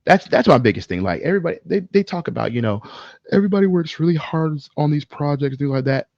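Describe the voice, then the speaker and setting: A man co-hosting a casual podcast, speaking in an opinionated, emphatic way with swearing and halting pauses. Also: a little high, animated, gravelly, darkish tone, no room sound, highs cut off. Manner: profane, emphatic, halting with pauses mid-thought. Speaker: podcast co-host. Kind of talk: casual film discussion, opinionated ranting.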